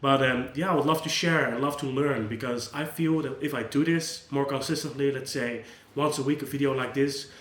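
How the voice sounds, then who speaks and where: A man whose first language is Dutch speaks in an earnest, conversational way, often restarting his sentences. His voice sits at a middle pitch for a man. The room around him has a noticeable echo. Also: fairly even intonation, a little fast, hardly any pauses, fairly bright tone, slight background noise. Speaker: psychologist, channel host. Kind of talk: unscripted talk to camera.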